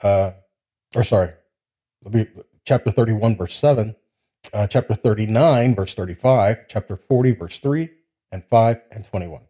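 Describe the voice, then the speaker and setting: A man's voice: on the low side, moderate pace, long pauses, dark tone. A man giving a Bible teaching, reading scripture and explaining it in a didactic way with short check-in questions. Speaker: religious teacher giving a sermon.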